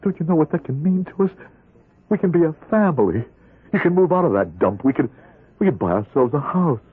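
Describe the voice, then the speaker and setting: An actor playing a bitter, desperate middle-aged ex-convict crook in an old radio drama, with a husky voice. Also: male voice, on the high side, sing-song, fairly quick. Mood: pleading. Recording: muffled, narrow-band old radio recording.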